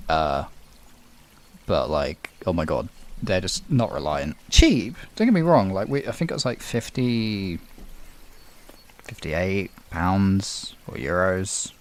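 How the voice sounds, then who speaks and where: A British man chatting casually on a livestream, replying to viewers in an informal, rambling, sweary way. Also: low voice. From United Kingdom. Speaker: livestream host.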